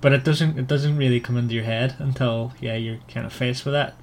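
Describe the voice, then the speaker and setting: A man casually discussing a book as a podcast co-host, often halting and repeating words. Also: mid-range voice, fairly even intonation, darkish tone, slight background noise.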